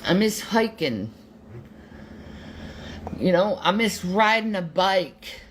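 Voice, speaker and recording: A woman's voice: mid-range voice, big pitch swings, slow pace, slight background noise. A woman giving an earnest motivational monologue, emphatic and repeating herself.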